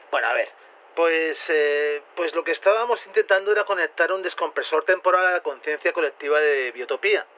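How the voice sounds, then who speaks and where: A man's voice: fairly high pitch, lightly acted. An actor with an accent from Spain playing a laid-back male scientist who explains things casually and hesitantly in an audio drama interview. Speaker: interviewed researcher. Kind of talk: casual spoken interview, acted. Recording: tinny, noisy phone-like radio link.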